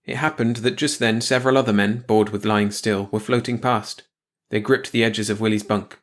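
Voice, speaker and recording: A man's voice: fairly low pitch, steady tone, normal speed, fairly bright tone. A man reading a short story aloud as scripted audiobook narration.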